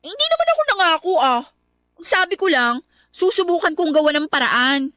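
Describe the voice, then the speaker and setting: A woman's voice: very high-pitched, very animated, brisk, pushed and bright, dry recording, exaggerated voice. A young woman voicing a shy, naive heroine in a radio love story, earnest and easily flustered. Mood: indignant. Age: young adult. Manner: earnest, flustered, then indignant. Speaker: heroine Abby. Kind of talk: radio drama acting.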